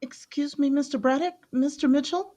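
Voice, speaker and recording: A woman's voice: high-pitched, moderate pace, mellow, a little hiss or hum, natural voice. An actress playing an earnest, emotional female office worker in an audio drama. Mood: polite, worried.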